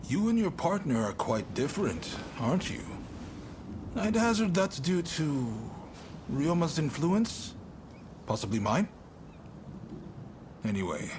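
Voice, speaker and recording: A man's voice: medium pitch, background noise, lightly acted. A man playing a reflective, wry mentor in a samurai video game, speaking in a conversational, musing way. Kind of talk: video game cutscene acting.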